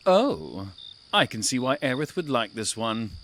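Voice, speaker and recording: A man playing a haughty, menacing mystical storyteller cat in an audio drama, with a theatrical, drawn-out delivery. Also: mid-range voice, big pitch swings, a bit bright, a little hiss or hum. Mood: haughty disdain. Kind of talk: audio drama host narration.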